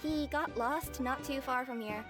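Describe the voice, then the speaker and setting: A woman's voice: high voice, measured pace. A woman voicing a perky, eager young delivery courier in a video game, cheerful and politely businesslike. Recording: background music under voice.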